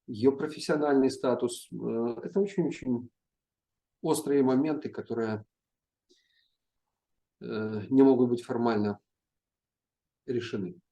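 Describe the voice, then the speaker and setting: A middle-aged man with a mellow, warm tone and an average pitch. He answers interview questions thoughtfully and carefully, often pausing and hesitating mid-sentence. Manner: measured, with frequent pauses and hesitations. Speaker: psychiatry professor, interview guest.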